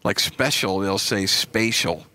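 A man's voice: low-pitched, slow pace, pushed and bright. A man with a wife and kids, chatting casually and telling playful stories. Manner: storytelling, playful. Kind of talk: casual chat.

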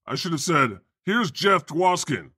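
A man acts as God hosting a comedy podcast in a casual, sardonic way. The delivery is lively and irreverent, with teasing banter in a dry, close sound. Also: fairly high pitch, measured pace, breathy edge, no room sound, heavily acted. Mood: playful. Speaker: podcast host.

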